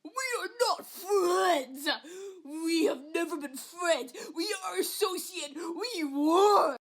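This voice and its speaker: Female performer as indignant comic character; emphatic, exasperated, slow, very high voice. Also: creaky, full character voice. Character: indignant, curt cartoon character refusing favours.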